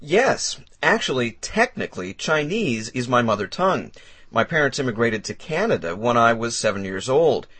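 A man's voice: mid-range voice, sing-song, normal speed, a bit bright, breathy edge, dry recording. A man playing a polite, enthusiastic young job applicant in a scripted learner dialogue. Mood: eager. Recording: dry studio sound.

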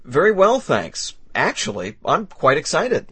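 A man's voice: on the high side, huge pitch swings, a little slow, a bit bright, husky, no room sound, heavily acted. A man playing a polite, enthusiastic young job applicant in a scripted learner dialogue. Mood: eager. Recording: dry studio sound.

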